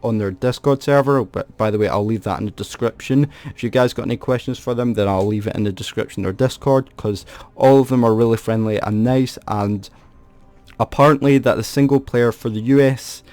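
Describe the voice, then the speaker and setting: A man's voice: medium pitch, fairly quick, darkish tone. A man from the UK, hosting a gaming video and talking off the cuff. He rambles with many restarts and self-corrections, and sounds aggrieved about feeling ripped off. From United Kingdom. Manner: complaining, aggrieved. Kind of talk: unscripted gaming commentary to camera.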